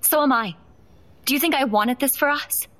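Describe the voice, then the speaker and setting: A woman's voice: sits high, moderate pace, a bit bright. An actress playing a fed-up young wife and mother, blunt and confrontational, in a naturalistic audio drama. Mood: bitter, firm.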